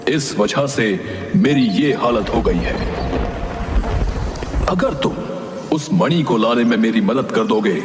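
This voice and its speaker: A man voicing an angel trapped in a withered tree in a cartoon story, speaking slowly and with command. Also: mid-range voice, lively intonation. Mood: solemn, instructive. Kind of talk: Hindi animated horror story dialogue. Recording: long echoing reverb, music underneath.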